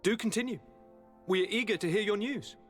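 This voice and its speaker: An actor playing an eager young noble governor in a video game, with a courtly, dramatic, formal delivery. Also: male voice, high voice, fairly quick, faint music behind, lightly acted. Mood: gracious. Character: young nobleman governor, eager, theatrical, wounded by his father. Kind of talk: video game cutscene acting.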